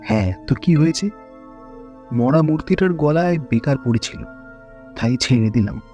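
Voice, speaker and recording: A man's voice: mid-range voice, lively intonation, moderate pace, warm tone, muffled recording, soft background music, pitched above the performer's own voice, lightly acted. A man voicing a brash, slangy young man who scoffs at danger in a horror story. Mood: brash, scoffing. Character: brash, dismissive young man who stole a gold chain.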